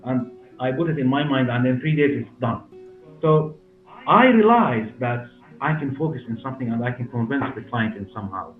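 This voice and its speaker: Man, forties, Syrian Arabic accent, halting non-native phrasing, interview answers, roomy sound, faint music behind. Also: medium pitch, animated, phone-quality sound. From Damascus, Syria. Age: 40s. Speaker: hair clinic director and interview guest.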